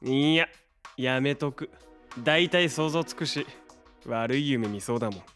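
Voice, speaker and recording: An actor playing a brash, warm-hearted young man with casual, slangy speech in a Japanese audio drama. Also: mid-range voice, unhurried, faint music behind, natural voice. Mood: flippant.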